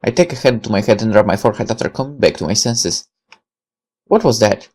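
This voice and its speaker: A man reading a first-person visual novel aloud as narrator, dramatic and deliberate, with halting pauses. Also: lowish voice, lively intonation, a little mellow.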